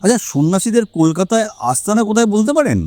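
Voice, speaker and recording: A man playing a confident, sharp-minded male detective in an audio story, with deliberate, emphatic phrasing. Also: high voice, big pitch swings, dry recording. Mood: commanding. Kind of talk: Bengali audio story acting.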